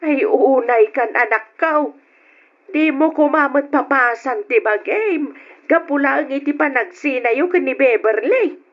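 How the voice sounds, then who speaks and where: A high-pitched mother who fusses over her grown son. She is caring and solicitous, in old radio drama style. The recording sounds dull and lo-fi. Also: unhurried. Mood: worried.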